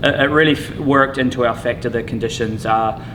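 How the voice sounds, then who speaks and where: A man, a rugby head coach, answering interview questions in a relaxed, halting way with many fillers. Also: mid-range voice, background noise.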